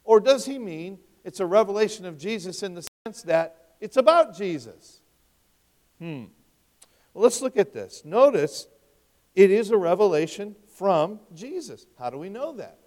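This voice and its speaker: A man's voice: high-pitched, big pitch swings, measured pace. A man in his fifties preaching an expository sermon, talking conversationally and asking his listeners rhetorical questions. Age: 50s. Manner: conversational, rhetorical questions, wide swings in loudness. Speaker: pastor. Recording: long hall reverb.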